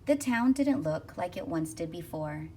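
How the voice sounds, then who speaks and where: A woman reading a rhyming children's picture book aloud expressively, with rhythmic, deliberate phrasing. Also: on the low side, animated, mellow. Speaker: school counselor reading aloud. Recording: distant mic with faint noise.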